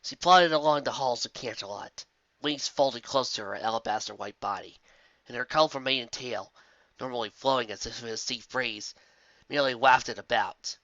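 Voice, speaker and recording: An amateur narrator reading scripted fantasy fan fiction in an expressive storytelling manner. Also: medium pitch, no echo, muffled recording.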